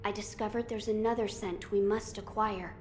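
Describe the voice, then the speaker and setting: A woman's voice: mid-range voice, fairly even intonation, moderate pace, a little mellow, slight background noise, lightly acted. A woman voicing an earnest young boy lord in a video game, formal and thoughtful. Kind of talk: video game cutscene acting.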